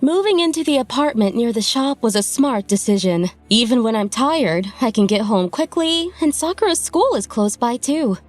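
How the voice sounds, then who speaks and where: A woman voicing a wronged but resolute young wife and mother in a dramatized manga dub, firm and expressive. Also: fairly high pitch, moderate pace, few pauses, soft background music. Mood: calm, resolved.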